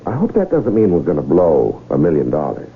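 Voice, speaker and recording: An actor in a 1970s radio drama playing a smooth, wry con artist who talks in a coaxing, deliberate way. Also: male voice, very deep voice, wildly swooping pitch, heavily acted. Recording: muffled, hissy 1970s radio broadcast recording.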